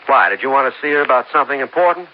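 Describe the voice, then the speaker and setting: An actor playing a nervous, polite young boyfriend in a 1950s radio drama. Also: male voice, medium pitch, expressive, racing, a little hiss or hum, lightly acted. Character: nervous young male boyfriend, eager to please. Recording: dull old radio broadcast.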